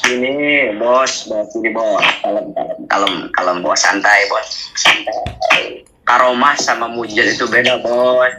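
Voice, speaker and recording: A man's voice: lowish voice, fairly even intonation, measured pace, music in the background, poor audio. A man in a casual phone argument, breaking in with a placating manner.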